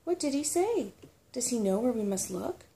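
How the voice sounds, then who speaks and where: A woman voicing a gentle, resolute male dragon in a storybook, earnest and questioning. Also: fairly high pitch, sing-song, moderate pace, gravelly, a little hiss or hum, voice pushed up. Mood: eager.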